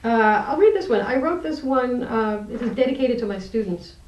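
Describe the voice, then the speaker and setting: A woman's voice: fairly high pitch, animated, normal speed, darkish tone, highs cut off, a little hiss or hum. A woman who is an interview guest, a poet and teacher, talking and reading her poetry aloud. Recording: roomy echo, distant microphone.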